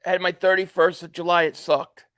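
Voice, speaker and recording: A man's voice: high voice, flat delivery, fairly quick, a bit bright, dry recording. A man in his late forties hosting a casual live stream, rambling and joking as he reads viewer comments aloud. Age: 40s. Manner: rambling, chatty, jokey asides. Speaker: live stream host reacting to a football game.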